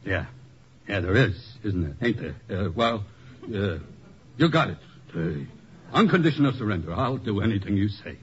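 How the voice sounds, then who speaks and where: An actor playing a gruff small-town political boss in 1950s radio comedy, slangy and colloquial with uneducated grammar. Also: male voice, fairly low pitch, big pitch swings, moderate pace. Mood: pleading. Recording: muffled radio recording, audience behind.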